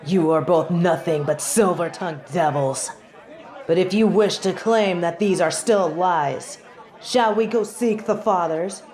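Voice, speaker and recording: A woman's voice: fairly low pitch, unhurried, a little mellow, a faint crowd, close to an everyday voice. A woman playing a haughty, righteous angel judge in a scripted audio drama, speaking in formal, imperious, theatrical declamation. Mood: scornful. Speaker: voice actor.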